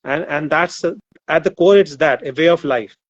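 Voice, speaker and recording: A man from Nigeria shares his view as an author guest. His voice sits a little high and reaches the listener as muffled, dry remote audio. He pauses often with fillers while he shapes his ideas. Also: no echo. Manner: thoughtful, with many fillers and restarts. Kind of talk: casual discussion.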